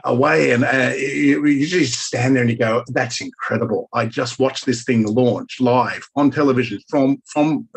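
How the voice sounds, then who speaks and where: A man's voice: medium pitch, husky, rough recording. A man with an Australian accent who lives in Germany, chatting as a guest and telling stories with lots of restarts. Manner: rambling storyteller, many restarts. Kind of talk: casual conversation.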